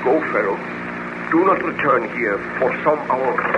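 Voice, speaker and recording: An actor playing a tormented, vengeful baron in a 1930s radio serial, earnest and melodramatic, with formal diction. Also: male voice, mid-range voice, expressive, a little slow, close to an everyday voice. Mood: accusing. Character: haunted, vengeful baron, tormented creator of a monster. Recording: noisy, dull 1930s radio recording.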